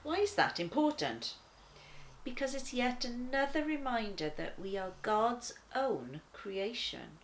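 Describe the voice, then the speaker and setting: A woman reading a children's devotional aloud in a deliberate, expressive manner. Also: a little high, slight background noise. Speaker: children's church story reader.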